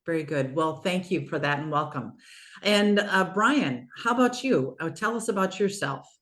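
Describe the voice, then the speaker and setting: Lowish-voiced woman podcast host. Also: animated, normal speed, fairly bright tone. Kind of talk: podcast hosting.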